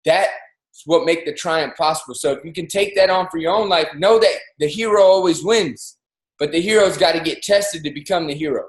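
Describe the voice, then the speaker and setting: A man in his thirties giving an energetic, preachy motivational talk as co-host of a livestreamed show, pushing his voice up on key points. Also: on the high side, brisk, echoey room. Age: 30s. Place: home in Miami, livestreamed call. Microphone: video call.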